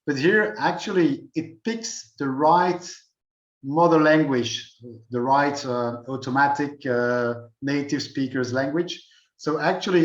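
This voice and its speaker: A man from Belgium walking through a software demo at a user group meeting, unhurried and thinking aloud with many fillers. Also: fairly high pitch, roomy sound, lo-fi sound. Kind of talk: screen-share software demo.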